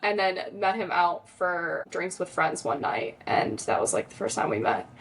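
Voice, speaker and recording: A woman's voice: fairly low pitch, sing-song, moderate pace, gravelly, a little hiss or hum, rough recording. A young woman guest on a podcast, telling a personal story in a rambling, chatty way, full of "like" and "um". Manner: chatty, rambling, lots of "like" and "um" fillers. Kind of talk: casual storytelling in an interview.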